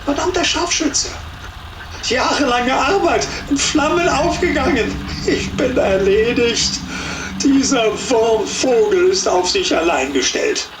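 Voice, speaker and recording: A furious cornered German officer. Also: male voice, very high voice, lively intonation, measured pace. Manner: angry, barking orders in German. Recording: echoey with faint noise.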